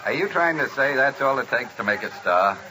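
An actor playing a skeptical, unimpressed betting crony with a dry delivery in an old-time radio drama. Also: male voice, mid-range voice, lively intonation, a little fast, heavy background noise, close to an everyday voice.

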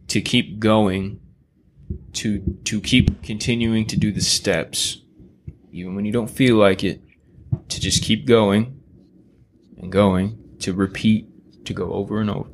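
Man, low voice, earnest, reflective, casual podcast talk, faint background noise. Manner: earnest, reflective, uses "right?" often. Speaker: podcast co-host.

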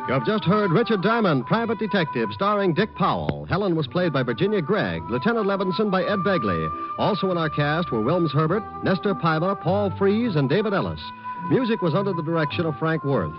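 A dull vintage broadcast with music behind it. A male radio announcer reads his script in a high-pitched, animated voice in classic old-time style. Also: fairly quick.

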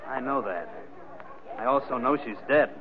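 An actor playing a brusque movie studio boss in an old-time radio drama. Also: male voice, medium pitch, expressive, normal speed, close to an everyday voice. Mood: tense, curt. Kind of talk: 1940s radio drama acting. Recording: dull, noisy old radio recording.

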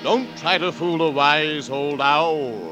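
A man's voice: fairly high pitch, takes it slowly. A man voicing a menacing wise old owl, an eerie forest creature in a 1940s radio drama. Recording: vintage radio recording, loud music behind.

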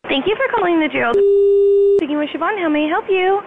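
A woman's voice: very high voice, fairly quick. A woman working as a hotel receptionist, speaking politely to a customer over the phone. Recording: noisy phone line with ambience.

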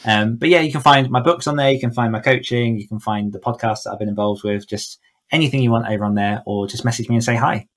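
A man's voice: medium pitch, fast. A man speaking casually as an interview guest, talking in an enthusiastic, effusive way. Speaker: podcast guest, horror author and coach.

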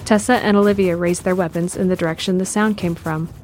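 A woman's voice: on the low side, steady tone, quick pace, darkish tone. A woman reading scripted narration for an audio drama. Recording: music behind the voice.

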